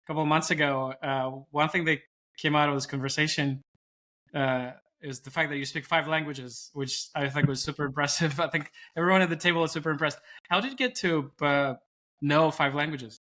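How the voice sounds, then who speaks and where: A man's voice: on the high side, fairly even intonation, fairly quick, sharp tone. A man hosting a casual interview, asking questions and affirming his guest's answers. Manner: encouraging, frequent affirming backchannels. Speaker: interviewer, host.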